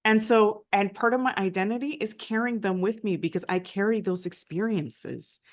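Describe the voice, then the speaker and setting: A woman's voice: medium pitch, normal speed. A Nicaraguan American woman, a former diplomat, telling her story in an interview with frequent "right?" tags. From United States, family from Nicaragua. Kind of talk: conversational interview.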